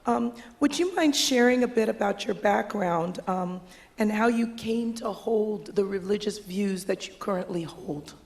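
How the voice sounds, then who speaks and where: A woman moderating a university panel, putting a question to a guest in a measured way with frequent pauses. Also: medium pitch, darkish tone.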